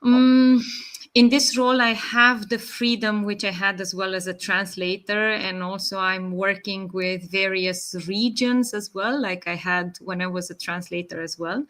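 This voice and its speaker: A woman in her thirties with a Romanian accent, answering interview questions in a measured way with many pauses and fillers. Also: medium pitch, steady tone, fairly bright tone. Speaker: guest. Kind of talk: interview answers, online live session.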